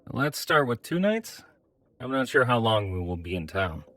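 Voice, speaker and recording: A man voicing a practical, considerate metal man adventurer in a fantasy audio drama, measured and polite. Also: medium pitch, sing-song, a bit bright.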